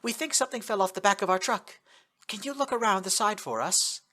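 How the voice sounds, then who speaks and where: A man's voice: sits high, brisk, pushed and bright, voice pushed up. A man voicing a cunning young woman who bluffs soldiers with a put-on foreign accent. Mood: sly, coaxing.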